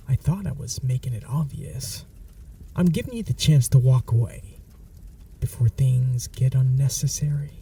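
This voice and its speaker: A man playing a gravelly, whispering vampire. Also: mid-range voice, recorded from a distance, heavily acted. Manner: soft half-whispered, mocking and calm. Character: smug teasing male vampire, dominant and possessive. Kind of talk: ASMR audio roleplay.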